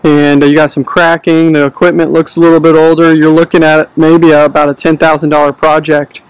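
A man's voice: fairly high pitch, flat delivery, brisk, clean tone, dry recording, low-quality recording. A man, a home inspector, hosts and asks short, casual questions outdoors in a backyard.

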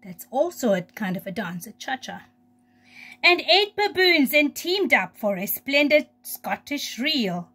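Preschool teacher lady reading a kids book out loud, high voice, slow, expressive. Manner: expressive, simple explanations for young children. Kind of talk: children's picture book read-aloud.

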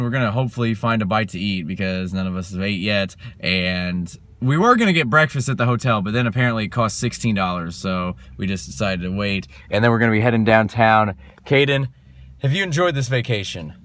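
A young man chatting casually through a travel vlog, filming himself on a phone at arm's length, excitable and saying "literally" a lot. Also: medium pitch, a little fast. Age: young adult. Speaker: vlogger. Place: car, street and home, phone held.

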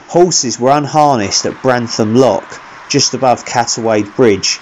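A middle-aged man narrates a walking travel vlog in a mid-pitched voice. He sounds like an outdoor guide explaining the route, with clear ambient noise behind him. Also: a little mellow, low-quality recording. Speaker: hiking vlogger and narrator.

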